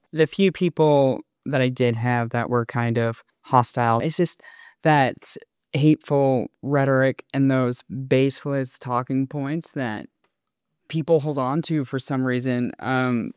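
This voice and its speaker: A man speaking in a measured, thoughtful way on a panel. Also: medium pitch, a little mellow, clear, resonant tone, no room sound, studio quality. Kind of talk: conversational panel discussion.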